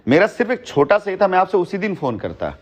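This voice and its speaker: A man's voice: high-pitched, wildly swooping pitch, fast talker. A man on a recorded phone call, explaining at length in an emphatic, long-winded way and repeating his phrases for weight. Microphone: telephone.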